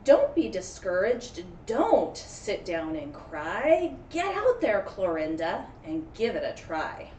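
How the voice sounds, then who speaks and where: An elementary teacher reads aloud in an unhurried, very lively voice, giving the storybook drama and encouragement. She is recorded at a distance in a roomy, echoing space. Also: mid-range voice. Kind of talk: expressive children's read-aloud with friendly chat. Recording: roomy echo, distant mic, faint noise.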